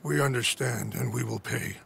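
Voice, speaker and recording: Grave old chief, very gravelly. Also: male voice, mid-range voice, a bit bright, slight background noise. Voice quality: gravelly, measured.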